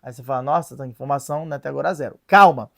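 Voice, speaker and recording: Animated woman explaining with playful asides. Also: rumbling low, rapid-fire, vocal fry. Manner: reads and translates sources aloud. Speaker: religious video presenter. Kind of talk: casual explanatory lesson.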